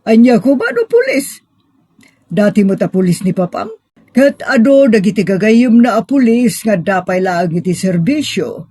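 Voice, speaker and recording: A woman's voice: medium pitch, sing-song, normal speed, warm tone, slight background noise, close to an everyday voice. A woman playing a middle-aged mother who questions and reassures her daughter in an audio drama. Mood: questioning, defensive. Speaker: drama actor. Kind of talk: Ilocano audio drama acting.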